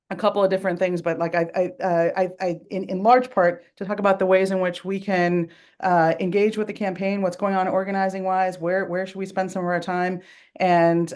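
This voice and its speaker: Woman hosting a call, lowish voice, lots of um and restarts. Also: darkish tone. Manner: halting, lots of fillers and repeats. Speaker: call host. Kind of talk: introducing a speaker on a call. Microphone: video or phone call.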